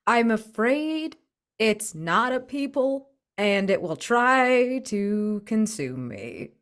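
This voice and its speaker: A woman playing a tabletop game, chatting casually and reacting in an informal, expressive, wry way. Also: a little high, takes it slowly.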